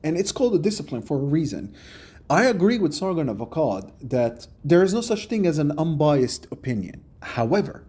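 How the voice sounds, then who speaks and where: A man giving a measured, explanatory commentary for a response video, recorded on a phone. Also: on the high side, big pitch swings, dark tone, breathy edge.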